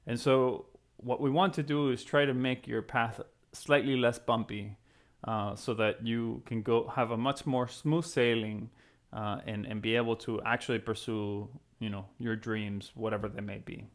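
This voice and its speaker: Creaky-voiced man explaining to students. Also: mid-range voice, steady tone, moderate pace. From Venezuela (Caracas). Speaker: physics professor and program co-founder. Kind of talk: explanatory talk to students, conversational.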